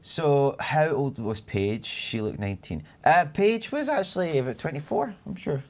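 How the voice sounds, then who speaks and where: A man's voice: a little high, sing-song, normal speed. A young Scottish man in his twenties, a community manager chatting on a live stream and reading out viewer comments. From Scotland. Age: 20s. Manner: chatty, rambling, reads chat aloud.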